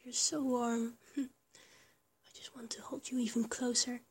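A woman's voice: fairly high pitch, flat delivery, a little slow. A woman voicing a small, affectionate young lover who whispers, stammers and rambles between giggles. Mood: tender. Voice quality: breathy whisper. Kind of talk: whispered intimate roleplay audio.

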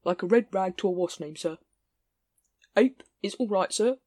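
A woman's voice: medium pitch, moderate pace, dark tone, no echo, clean studio sound, lightly acted. A woman voicing an anxious, fumbling middle-aged sergeant who hesitates and pleads. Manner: hesitant and pleading, with ums and uhs.

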